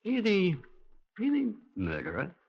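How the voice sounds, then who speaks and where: A man's voice: high-pitched, wildly swooping pitch, a little slow, gravelly, husky, full character voice. A man playing a genial, wry British Army major with clipped, breezy officer's talk in a 1950s radio drama. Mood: amused. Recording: dull, noisy 1950s radio recording.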